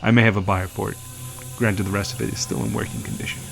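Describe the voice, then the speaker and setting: A man's voice: lowish voice, level tone, fast, gravelly, over music. A man playing a brash, foul-mouthed dealer in illegal implants in a science fiction audio drama, blunt and full of slang. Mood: haggling.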